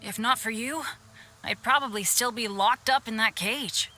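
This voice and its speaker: A woman voicing an earnest, optimistic youngster in a video game cutscene, with an expressive, informal delivery. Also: a little high, moderate pace, faint music behind. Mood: sheepish, grateful.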